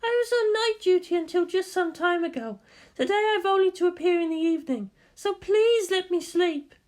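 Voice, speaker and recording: A woman's voice: very high-pitched, moderate pace, a little mellow, pitched above the performer's own voice. A woman voicing a breezy, casual young woman military officer in a story reading. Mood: sleepy, grumbling.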